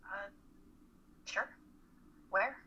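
A woman's voice: extremely slow, sharp tone, lo-fi sound, slight background noise. A woman acting a bossy but caring female college student in a dramatic reading, with a blunt manner. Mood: gentle. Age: young adult. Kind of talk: radio-play style dramatic reading.

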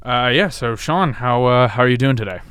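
A man's voice: mid-range voice, normal speed, a bit bright, smooth. A man hosting a radio interview in a casual, wordy way, feeling his way through his phrasing. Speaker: radio show host.

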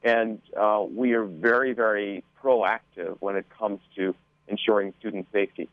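A man answering as an official guest in an interview, measured and halting, with frequent hesitations. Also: fairly low pitch, steady tone, no room sound, narrow, tinny audio. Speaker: school board member guest.

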